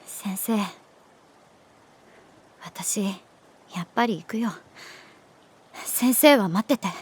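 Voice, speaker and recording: A woman's voice: medium pitch, drawn-out words, a little mellow, slightly rough, heavily acted. A woman voicing an anguished, pleading runaway teenage schoolgirl in a Japanese audio drama, with a youthful, emotional delivery. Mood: resolute, subdued.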